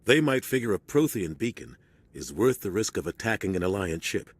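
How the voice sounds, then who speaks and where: An actor playing a serious male starship captain giving a steady, earnest briefing in a video game cutscene. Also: sits low, a little fast, dry recording.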